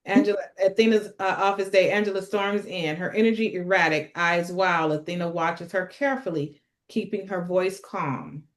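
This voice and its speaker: A woman's voice: on the low side, normal speed. A woman narrating a script at a table read, reading out stage directions.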